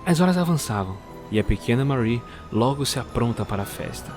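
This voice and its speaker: Guy narrating a story for an audio drama, measured storyteller voice, music underneath. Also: mid-range voice, lively intonation.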